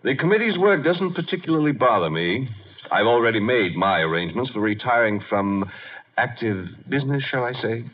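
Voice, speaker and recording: An actor playing a pretentious, quietly menacing crime boss in a 1950s radio drama, measured and polite with veiled threat. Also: male voice, mid-range voice, very animated. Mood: suavely menacing. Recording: dull vintage radio broadcast recording.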